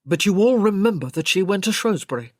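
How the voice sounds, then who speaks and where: A woman's voice: mid-range voice, animated, fairly quick, no room sound, studio quality, close to an everyday voice. A woman voicing a polished, wry male aristocrat in an audiobook. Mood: amused admiration.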